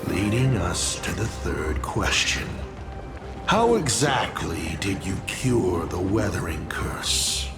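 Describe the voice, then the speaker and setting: A man's voice: low-pitched, sing-song, takes it slowly, over music, heavily acted. An actor playing a taunting, theatrical villain in a video game, drawling mockingly as he poses riddles. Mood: mocking, probing.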